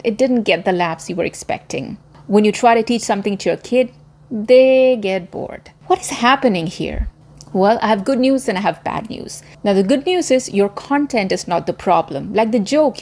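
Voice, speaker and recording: A woman's voice: mid-range voice, a little mellow. A woman hosting a scripted instructional video like a trained presenter, articulate and emphatic, posing rhetorical questions. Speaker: former media presenter and voice coach hosting tutorial.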